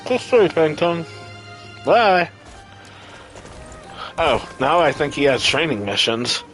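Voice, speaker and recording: A man's voice: a little high, sing-song, slow pace, a bit bright, soft background music. A man doing casual live gameplay commentary as a streamer, irreverent, sarcastic and freely profane. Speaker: game streamer.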